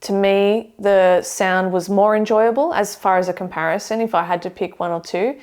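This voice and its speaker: A woman's voice: medium pitch, moderate pace, darkish tone. Young woman talking thru a speaker review at a table at home, careful and earnest. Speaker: audio equipment reviewer. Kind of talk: scripted review to camera. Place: living room with hi-fi shelves, indoors.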